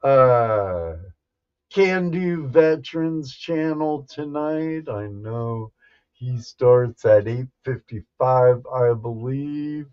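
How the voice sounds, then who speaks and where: A man hosts a livestream in a very slow, folksy manner with a medium-pitched voice. He chats casually, pausing and hesitating as he addresses viewers one at a time. The audio is a little dull and lo-fi. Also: animated, smooth. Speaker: livestream host.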